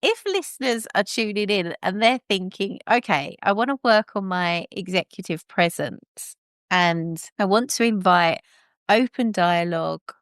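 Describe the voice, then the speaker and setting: A woman podcast host with a warm, relaxing voice, talking in a thoughtful, conversational way. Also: medium pitch, unhurried, no echo, clean studio sound.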